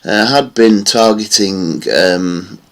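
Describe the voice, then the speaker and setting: Low-voiced man rambling over charts. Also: takes it slowly. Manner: rambling, with many fillers. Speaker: market analysis vlogger. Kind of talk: casual chart commentary.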